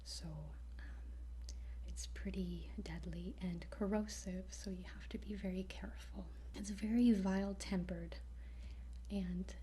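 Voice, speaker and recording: A woman speaking in a soft, breathy whisper as a guide in an ASMR roleplay, hesitant, with pauses between short phrases. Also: medium pitch, steady tone, slight background noise. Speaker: ASMR roleplayer playing a dragon training guide.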